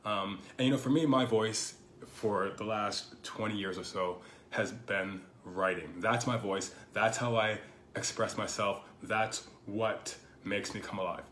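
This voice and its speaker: Hoarse low-voiced man in an echoey room. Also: unhurried, fairly bright tone. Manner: thoughtful, rambling, full of "you know" fillers. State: hoarse from a lingering cold. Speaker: podcast host. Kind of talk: casual reflective monologue. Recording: echoey room with faint background noise.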